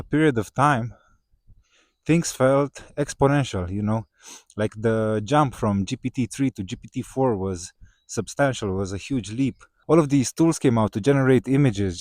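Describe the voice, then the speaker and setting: A man whose first language is Romanian, talking casually to his viewers with many "uh" fillers and tag questions, growing more animated in places. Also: fairly low pitch, no room sound. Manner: conversational, many "uh" fillers and "right?" tags, rising when excited. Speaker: vlogger. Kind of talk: casual talk to camera.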